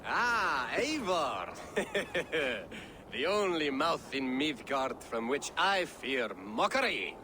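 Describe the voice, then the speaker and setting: A man's voice: a little high, big pitch swings, slow, background noise. A man playing a jovial, witty Viking poet in a video game, theatrical and lilting. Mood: jovial, teasing. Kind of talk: theatrical video game acting, declaiming rhymes.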